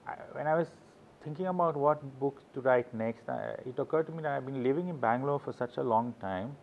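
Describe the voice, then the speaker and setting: A middle-aged man, an author, talks in English in a rambling, anecdotal way, often stopping to restart his sentences. His pitch sits mid-range for a man. The recording sounds dull and slightly lo-fi, with a faint hiss behind him. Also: brisk, vocal fry. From Sweden. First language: Swedish. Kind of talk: casual interview talk.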